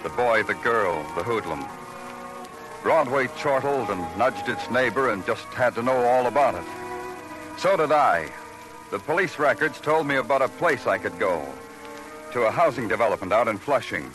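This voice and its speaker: A man's voice: mid-range voice, normal speed, natural voice, poor audio. Man playing a wry radio detective, music behind. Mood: wry, brooding. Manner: deadpan, clipped questioning. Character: wry, terse police detective narrating his case. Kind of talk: 1950s radio noir narration and acting.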